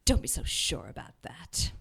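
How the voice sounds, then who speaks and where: A woman's voice: sits low, creaky, fairly bright tone, slightly rough, heavily acted. A woman playing a haughty, menacing immortal demoness in an audio drama, with an imperious, taunting delivery. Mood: defiant anger.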